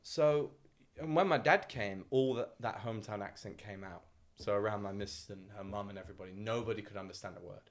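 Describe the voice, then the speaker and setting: A man's voice: fairly low pitch, a little fast. A man with a British accent, an English teacher living abroad, co-hosting a podcast in casual chat and rambling with lots of swearing and laughter. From England. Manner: rambling, profane, laughs often.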